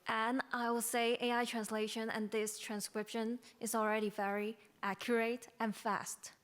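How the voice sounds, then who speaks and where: A woman's voice: a little high, level tone, moderate pace. A woman from Macau, a native Cantonese speaker with a Cantonese accent, asking a question from the floor as a researcher.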